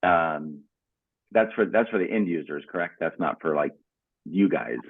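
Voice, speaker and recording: Deep husky man on a phone line. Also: normal speed, no echo. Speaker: prospective bidder asking questions. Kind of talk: informal questioning on a conference call. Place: remote phone or call connection. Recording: narrow, tinny phone-like call audio.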